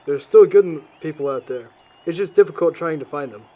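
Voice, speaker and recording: An actor playing an earnest, calm young outsider in an amateur audio drama. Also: male voice, a little high, a little fast, natural voice. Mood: consoling. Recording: noisy amateur recording.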